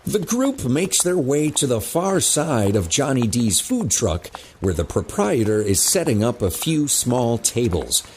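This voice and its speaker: Male narrator for audio fiction, unhurried, animated pitch, faint noise behind. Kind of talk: scripted narration.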